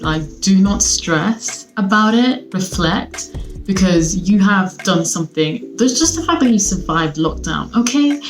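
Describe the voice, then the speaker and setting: A woman's voice: fairly low pitch, low-quality recording. A young woman from Sweden, chatting casually and cheerfully to her viewers from home. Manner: chatty, upbeat, lots of fillers. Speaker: vlogger. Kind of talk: casual chat to camera. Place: at home, seated.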